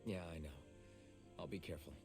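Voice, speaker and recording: An actor playing an earnest, protective young hero in an anime video game, plain-spoken and sincere. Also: male voice, rumbling low, fairly even intonation, a little fast, vocal fry, over music. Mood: sombre, resolute.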